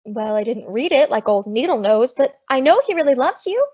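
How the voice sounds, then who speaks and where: High-pitched sassy American showgirl, feisty but big-hearted, old radio comedy, dull recording. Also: lively intonation, fairly quick, darkish tone, breathy edge. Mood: earnest, puzzled. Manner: slangy, sassy. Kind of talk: 1950s radio comedy acting.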